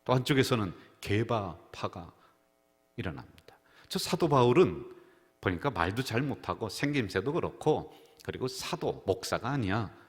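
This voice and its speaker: A male pastor with a fairly low voice delivers a Sunday sermon, weaving in stories and slipping into the voices of the people he quotes. His words ring through a church sanctuary with a long echo. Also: expressive, moderate pace. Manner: storytelling preacher who acts out quoted voices. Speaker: senior pastor. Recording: reverberant church hall.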